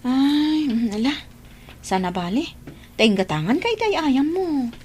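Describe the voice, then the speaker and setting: A woman's voice: sits high, big pitch swings, measured pace, a little mellow. A woman with a Filipino accent playing a meddling sister-in-law and auntie in a radio drama, pointed and full of questions. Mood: concerned, affectionate. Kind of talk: Ilocano radio drama acting. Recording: background noise.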